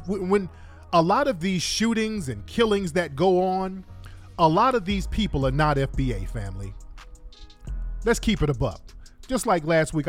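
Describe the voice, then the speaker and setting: A man hosting a talk show, giving opinionated live commentary in an emphatic, colloquial way and repeating points for stress. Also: fairly high pitch.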